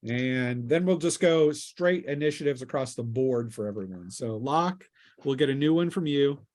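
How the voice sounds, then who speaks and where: A man's voice: medium pitch, animated, moderate pace, darkish tone. A man acting as game master, casually narrating a tabletop role-playing session and piecing scenes together aloud as he goes.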